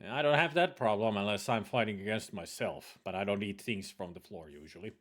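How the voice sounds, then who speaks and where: A man's voice: lowish voice, a little fast, a bit bright. A Scottish man chatting casually while playing a game, rambling with many fillers and frequent laughs. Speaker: let's play co-host. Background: faint background music.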